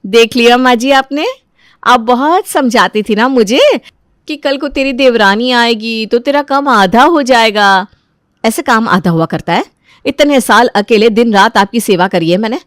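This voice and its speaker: A woman's voice: on the high side, a bit bright, no echo, clean studio sound. A woman playing a resentful, stubborn elder daughter-in-law who grumbles and argues sarcastically in a dramatized moral story.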